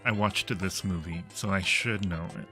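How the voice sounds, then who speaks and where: A man's voice: deep voice, moderate pace, bright, forceful tone. A man hosting a casual gaming livestream, chatting and reacting as he plays along with viewers. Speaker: livestream host. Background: game music.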